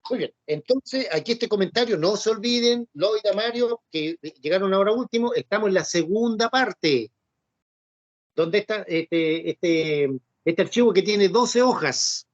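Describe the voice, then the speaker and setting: A man's voice: high voice, measured pace, no room sound. A man teaching a Bible class, talking conversationally and often asking rhetorical questions. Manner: conversational, repeats himself and asks rhetorical questions. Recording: dull, dry lo-fi recording.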